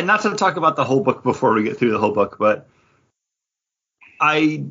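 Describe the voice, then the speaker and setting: A man's voice: lowish voice, gravelly, fairly bright tone, husky, lo-fi sound. A man co-hosting a podcast, chatting casually and thinking aloud with frequent hedges. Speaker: comics podcast co-host. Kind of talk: casual chat about comic books.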